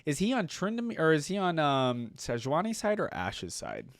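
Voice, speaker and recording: A man's voice: fairly high pitch, huge pitch swings, normal speed, no echo, clean studio sound, faint music behind. A man giving casual reaction commentary as host of a gaming video.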